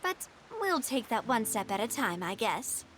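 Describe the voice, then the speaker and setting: An actress voicing a brisk, self-assured young woman leader in a game, speaking in a teasing, offhand way. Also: on the high side, big pitch swings, fairly bright tone, a little hiss or hum. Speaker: game character. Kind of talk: game voice acting. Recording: game audio with faint music.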